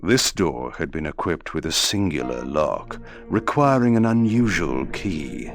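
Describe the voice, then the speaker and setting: Deep, slow, haughty vampire lord. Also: male voice, sing-song, creaky, exaggerated voice. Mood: sardonic, calculating. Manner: haughty, deliberate, sardonic. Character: proud, cynical male vampire lord, cold and menacing. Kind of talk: scripted theatrical game narration. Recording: loud music behind.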